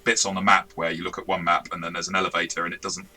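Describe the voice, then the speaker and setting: A man's voice: low voice, flat delivery, rapid-fire, bright, forceful tone. A man co-hosting a casual review discussion as a game master, often correcting himself and adding asides. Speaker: tabletop game master and review show co-host.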